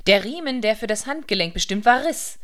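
A woman narrates a literary radio play. Her voice sits a little high. She reads scripted prose as the storyteller. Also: expressive, a little fast, a bit bright.

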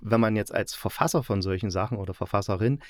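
A man with a fairly low voice talks casually as a review host. He is reflective, repeating and correcting words as he forms his point. The recording is dry and free of echo. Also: fast. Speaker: podcast host, audio drama critic.

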